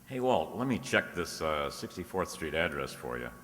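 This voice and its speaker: A man's voice: rumbling low, very animated, moderate pace, a bit bright, exaggerated voice. A man playing a breezy, teasing ex-cop private detective in an old-time radio drama style, recorded in a very reverberant space. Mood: breezy, flirtatious. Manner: breezy, teasing, first-person hardboiled narration. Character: wisecracking ex-cop private detective, unflappable, flirtatious. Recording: very reverberant room or hall.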